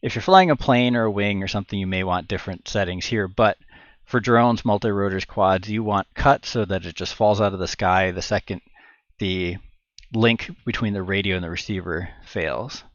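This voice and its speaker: Low-voiced middle-aged man, dull dry sound. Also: normal speed, dry recording. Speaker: tutorial presenter. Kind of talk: informal step-by-step tech tutorial. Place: indoor room at home. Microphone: headset boom microphone.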